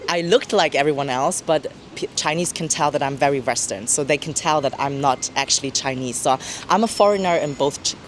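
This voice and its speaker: A woman in her twenties from Germany, answering interview questions in a relaxed, halting way. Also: sits low, slight background noise. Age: 20s. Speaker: interview guest.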